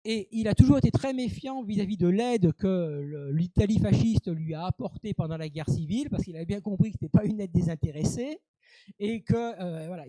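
A man with a fairly high yet deeply dark, mellow voice, speaking as a guest author on radio. He halts often, filling gaps and repeating words as he thinks. The sound is dry and close. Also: no room sound, rough recording. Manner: hesitant, many fillers and repeated words. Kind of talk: radio interview answer.

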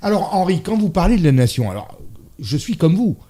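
French man, debate guest; warm husky tone, animated and emphatic. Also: sits high, fairly quick. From France. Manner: emphatic, argumentative, agrees readily. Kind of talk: casual debate chat.

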